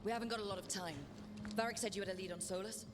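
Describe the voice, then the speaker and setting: An actress playing a businesslike, direct young heroine in a fantasy video game. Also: female voice, medium pitch, fairly even intonation, racing, lightly acted. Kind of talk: dramatic video game acting. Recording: clear game ambience noise behind.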